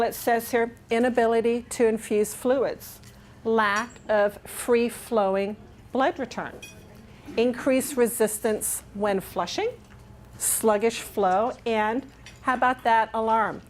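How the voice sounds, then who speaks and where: Chatty upbeat woman presenter, higher voice. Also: slow, a little hiss or hum. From England. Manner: upbeat and chatty, asks audience for agreement. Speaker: nurse educator. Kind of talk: conference presentation. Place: dining room with large windows.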